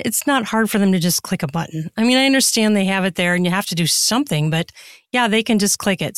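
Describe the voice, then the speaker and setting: A woman with a mid-pitched voice talks as a guest on a podcast. She is candid and earnest in a casual, conversational way, and the sound is dry. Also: no echo. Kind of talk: casual interview chat.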